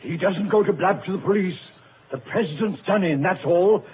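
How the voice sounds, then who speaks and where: An actor playing a rough, hard-headed male gangster with blunt, colloquial delivery in old-time radio thriller style. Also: high-pitched, moderate pace, lightly acted. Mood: gruff, resigned. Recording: tinny, noisy old radio recording.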